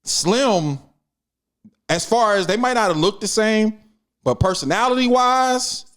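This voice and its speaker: A man with a fairly high voice and a Kansas City Midwestern accent with a light twang. He co-hosts a laid-back movie review podcast, talking in an animated, slangy way and circling back over his own points. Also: a little slow, smooth. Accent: Midwestern American, Kansas City. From Kansas City, Missouri. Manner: animated, repeats himself, slangy. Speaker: podcast co-host. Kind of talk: casual movie review chat.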